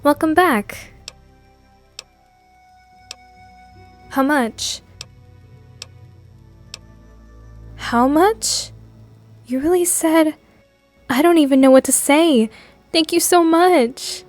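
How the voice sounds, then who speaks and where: A woman plays a caring female doctor in a video game. Her voice sits a little high. Music plays clearly behind her lines. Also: slow pace. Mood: grateful. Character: caring female doctor running treatment experiments. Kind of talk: video game character dialogue.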